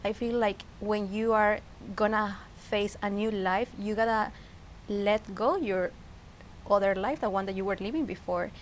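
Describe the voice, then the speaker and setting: A woman's voice: mid-range voice, a little slow. A woman from Peru with a Peruvian Spanish accent, talking casually and reflectively as a guest, searching for her phrasing in English. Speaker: interview guest. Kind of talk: casual personal conversation. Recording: clear background noise, ambience.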